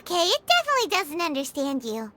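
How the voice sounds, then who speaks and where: Squeaky little game fairy, super high n bouncy, eager kid-like, loud music behind. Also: female voice, huge pitch swings, fast, heavily acted. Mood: confused. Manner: refers to self in third person, eager. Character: tiny excitable female fairy companion, childlike, food-loving. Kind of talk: video game voice acting.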